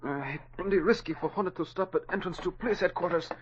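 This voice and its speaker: Brief: male actor as loyal worried valet, high voice, clipped broken English, muffled vintage radio with faint noise. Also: fast, close to an everyday voice. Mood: anxious. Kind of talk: 1940s radio acting. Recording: muffled, faintly noisy old radio recording.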